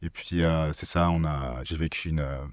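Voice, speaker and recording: A man's voice: extremely low pitch, fairly even intonation, moderate pace, no echo, clean studio sound. A young man in his twenties, from Congo and raised in Belgium, sharing his faith testimony hesitantly with many fillers. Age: 20s. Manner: hesitant, many "euh" fillers, laughs shyly. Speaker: podcast guest. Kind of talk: personal testimony in casual chat.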